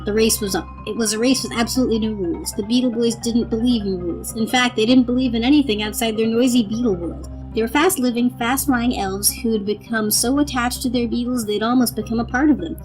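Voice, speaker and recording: A woman's voice: fairly high pitch, fast talker, few pauses, a little mellow, soft background music, low-quality recording. A woman reading as a storybook narrator in a dramatic, scripted style.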